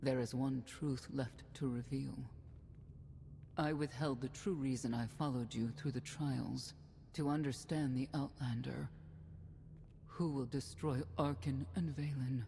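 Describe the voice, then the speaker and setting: A woman's voice: extremely low pitch, fairly even intonation, vocal fry, warm tone, big-room sound, a little hiss or hum, full character voice. A grave secretive mother. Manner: slow, grave, confessional. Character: secretive older mother with hidden motives.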